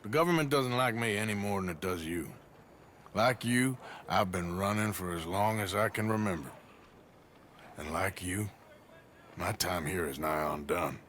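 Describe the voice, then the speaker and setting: An actor playing a wry Old West outlaw in a game cutscene, with an American accent and a dry, sardonic manner. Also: male voice, sits low, moderate pace, a bit bright, a little hiss or hum. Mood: wry, weary. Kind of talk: video game cutscene acting.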